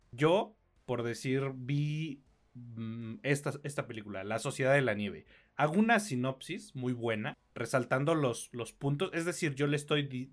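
A man with a Mexican accent talks as a podcast co-host. His delivery is casual and conversational, with a blunt way of putting things and crude slang. His voice sits at an average pitch for a man. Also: a bit bright. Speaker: podcast co-host reviewing films and series. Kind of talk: casual review chat.

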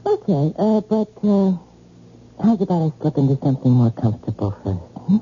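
A woman's voice: deep voice, big pitch swings, normal speed. An actress playing a teasing, mercenary call girl with an American accent and slangy, drawling endearments in an old-time radio drama. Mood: coy. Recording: muffled, noisy old radio recording.

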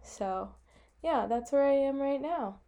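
A young American woman co-hosts a podcast in a casual, chatty style. Her voice sits a little high for a woman, yet it is mellow and relaxed in tone. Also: unhurried. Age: young adult, about eighteen. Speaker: podcast co-host. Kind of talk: casual chat.

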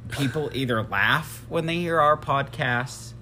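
A man's voice: mid-range voice, measured pace, sharp tone. A man co-hosting a podcast in casual chat, teasing and self-mocking. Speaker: podcast co-host.

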